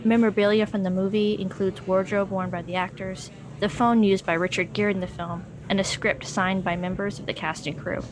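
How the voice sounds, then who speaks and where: A woman giving scripted narration for an audio documentary in an even, measured reading voice. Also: medium pitch, background noise.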